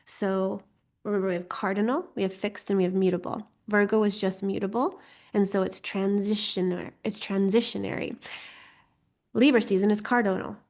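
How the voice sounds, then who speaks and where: A woman's voice: mid-range voice, fairly even intonation, normal speed, darkish tone. Slightly congested woman, chatty and playful. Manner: playful, giggly, self-deprecating asides. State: slightly congested from getting over a cold. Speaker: host teaching an online astrology course. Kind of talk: informal live online class, chatty explaining.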